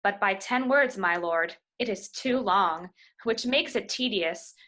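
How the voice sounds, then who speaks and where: Woman as bright, disdainful Shakespearean courtier. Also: mid-range voice, a little slow. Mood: deferential, disdainful. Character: deferential, disdainful master of revels at court. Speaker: amateur reader in a play. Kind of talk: Shakespeare verse at an amateur table read. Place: remote video call, reading from home.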